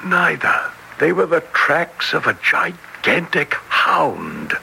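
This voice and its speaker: An actor playing an earnest, excitable country doctor, telling things with theatrical weight in a 1940s radio drama style. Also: male voice, a little high, slow pace, vocal fry, exaggerated voice. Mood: intense, insistent. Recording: noisy old radio broadcast recording.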